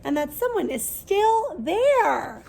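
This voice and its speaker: A woman voicing a very small, upset baby bear in a storybook, in a squeaky voice. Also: very animated, slow pace, gravelly, a little mellow, a little hiss or hum, full character voice.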